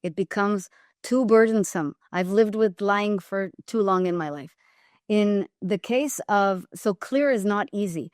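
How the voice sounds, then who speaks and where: A woman's voice: medium pitch, darkish tone, no room sound. Emphatic Israeli woman, dry close mic. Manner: emphatic, measured, repeats phrases for weight. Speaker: guest. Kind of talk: podcast interview.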